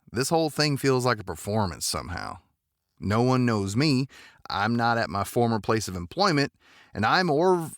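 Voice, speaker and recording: A man playing a gravelly, courtly space cowboy. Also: mid-range voice, no room sound, studio quality. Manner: drawling folksy cowboy idiom, gentlemanly.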